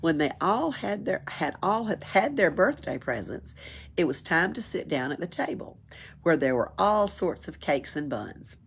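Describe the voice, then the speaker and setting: A woman's voice: on the low side, unhurried, slight background noise. A woman reads a children's story aloud expressively, as a library storyteller. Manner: expressive, chuckles and adds asides. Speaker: library read-aloud reader.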